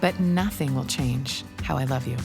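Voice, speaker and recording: An actress playing a loving forest ranger mother in an audio drama, tender and gently teasing. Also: very deep voice, normal speed, gravelly, music in the background.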